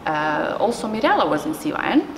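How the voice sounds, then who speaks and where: A woman's voice: mid-range voice, very animated, fairly quick. A woman telling a casual personal anecdote. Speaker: youth network member. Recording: very echoey hall, faint noise.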